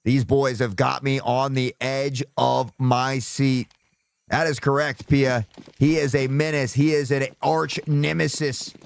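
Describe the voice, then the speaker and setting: A male esports caster with a slightly sore throat, calling play-by-play and erupting into loud shouts on big plays. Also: medium pitch, flat delivery, unhurried, vocal fry.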